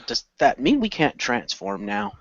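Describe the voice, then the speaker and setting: A man's voice: medium pitch, sing-song, measured pace, no room sound. A man improvising narration and casual in-character dialogue for an amateur radio play. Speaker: player in fan radio play. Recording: dull lo-fi voice recording.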